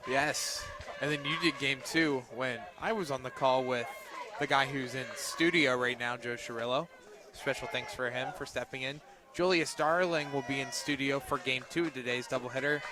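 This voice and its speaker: A young man doing live radio play-by-play of a softball game as the announcer. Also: medium pitch, steady tone, moderate pace. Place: outdoors at a softball field. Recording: outdoor ballpark noise, crowd behind. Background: crowd and outdoor ambient noise.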